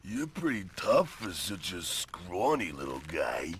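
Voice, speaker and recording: An actor playing a brutish, slow-witted bullying villain in a video game, with a gravelly voice and a lumbering delivery. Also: male voice, sits low, very animated, noisy background. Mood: dismayed.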